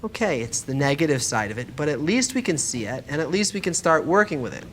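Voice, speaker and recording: A man's voice: a little high, big pitch swings, fast talker, slight background noise. A man giving an explanatory spiritual lesson in a conversational but scripted way, speaking directly to the listener and posing rhetorical questions. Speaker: lecturer. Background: background music.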